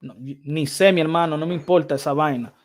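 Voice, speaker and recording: Excitable man ranting about boxing. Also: fairly high pitch, fast. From Dominican Republic. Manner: excitable, swears, mimics others, switches into Spanish. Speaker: boxing podcast host. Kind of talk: heated live-stream rant, reading chat.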